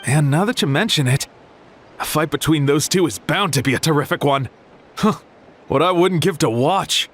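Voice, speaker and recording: An actor playing an excitable, imaginative young man in a game, talking in an eager, speculative way. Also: on the high side, normal speed, soft background music, natural voice. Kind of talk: game voice acting.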